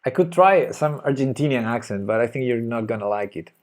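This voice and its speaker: A man with a Spanish accent plays chess live and talks through his moves as he goes. His voice sits at a middling pitch, and he mutters and hesitates while he thinks, often trailing off into quiet asides. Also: animated, brisk. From Spain. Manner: thinking aloud, hesitant, muttering move names. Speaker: chess streamer.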